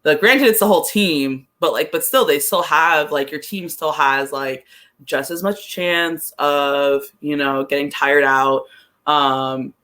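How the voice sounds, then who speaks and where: A man co-hosting a sports podcast, chatting casually about soccer in a rambling, halting way and often correcting himself. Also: a little high, bright, forceful tone.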